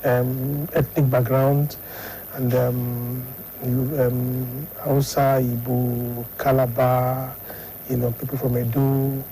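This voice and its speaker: A middle-aged man from Lagos with a Nigerian accent, answering interview questions in a halting way and repeating himself. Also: mid-range voice, warm tone, a little hiss or hum, low-quality recording. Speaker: studio guest, sports psychologist and academic.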